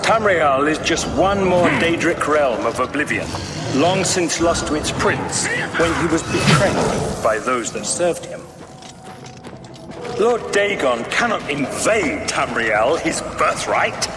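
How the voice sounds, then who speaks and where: An actor playing a grandiose, zealous cult leader preaching dark prophecy in a pompous, measured, oratorical way for a fantasy game. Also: male voice, a little high, sing-song. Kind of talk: theatrical fantasy game monologue. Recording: echoey hall reverb, music behind.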